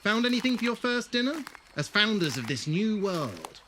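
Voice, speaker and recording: An actor playing a whimsical alien time traveller in an audio drama, theatrical and wordy, with a wry, teasing way of talking. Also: male voice, sits high, sing-song, normal speed, pushed and bright, a little hiss or hum, exaggerated voice. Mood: confident, teasing.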